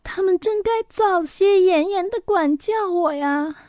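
A woman's voice: extremely high pitch, a little slow, darkish tone, no echo, studio quality. A woman voicing a proud, bitter young girl in a dramatized fairy tale, with plaintive, self-pitying delivery. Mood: resentful, regretful.

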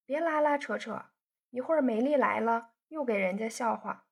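A proud young woman who sulks and snaps back at her sweetheart, voiced by a woman reading the story. Her voice is high and husky-breathy, each line a pointed retort. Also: normal speed. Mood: sulky. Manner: pouting, sharp retorts.

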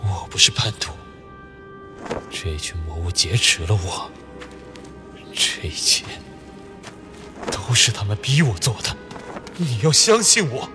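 Deep, slow, treacherous priest. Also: male voice, big pitch swings, music in the background. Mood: falsely aggrieved. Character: treacherous red-robed priest, feigning innocence, sinister adult man. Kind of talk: Chinese audio drama acting.

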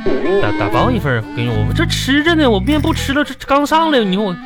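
A sheepish husband caught out in a comic radio play, speaking with a Northeastern Chinese accent. He swoops around animatedly, stammering excuses and protests over clearly audible music. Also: high-pitched, sing-song, fast. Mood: wheedling. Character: flustered, sheepish husband hiding money, making excuses. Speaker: radio comedy host and actor. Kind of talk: live comedic radio drama dialogue.

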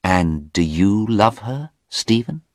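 A man's voice: on the low side, animated, slow, no echo, clean studio sound, voice pushed up. A man reading aloud voices a determined woman pressing a young man with urgent questions. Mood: probing. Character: determined middle-aged woman, urgent and firm.

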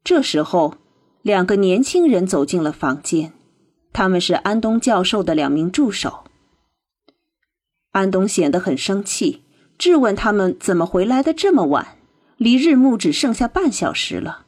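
A woman reading scripted narration for a radio drama in an expressive storytelling manner. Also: mid-range voice, moderate pace.

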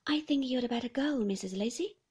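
A woman's voice: fairly high pitch, fairly quick, darkish tone, slightly rough. A woman voicing a flustered nurse who speaks in a soothing, apologetic, fussing way.

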